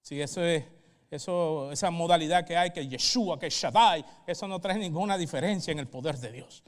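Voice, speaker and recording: A man's voice: on the high side, moderate pace. A pastor, a man with a Puerto Rican accent, teaching the Bible in a didactic way and checking in with short questions. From Puerto Rico. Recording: reverberant hall sound.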